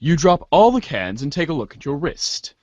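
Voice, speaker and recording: Lively, higher-pitched man reading theatrically. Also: moderate pace, no echo. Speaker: narrator reading a webcomic aloud. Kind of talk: amateur dramatic reading of narration.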